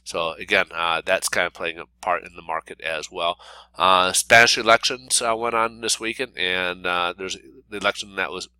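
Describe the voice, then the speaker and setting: A man's voice: deep voice, steady tone, normal speed, sharp tone, clean tone, no echo, low-quality recording. A man with a cold and a congested voice, giving a casual daily market report with lots of fillers and restarts. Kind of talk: unscripted solo market commentary over slides.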